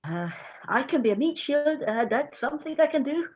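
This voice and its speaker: A woman's voice: sits high, lively intonation, moderate pace, lo-fi sound, slight background noise. A woman playing a hapless, cheeky and impulsive farm boy in an amateur myth play reading. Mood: nervously helpful.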